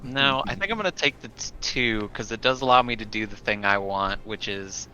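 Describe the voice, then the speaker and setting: A man's voice: lowish voice, brisk, pushed and bright, clear, resonant tone. A man playing a tabletop game, talking casually and matter-of-factly as he thinks aloud. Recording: dull lo-fi mic, faint music behind.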